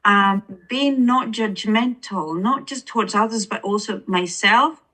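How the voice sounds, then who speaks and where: A woman's voice: mid-range voice, a little slow, a bit bright. A woman with an Estonian accent, an author speaking as an interview guest, earnest and searching for words with many restarts. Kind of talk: reflective interview conversation.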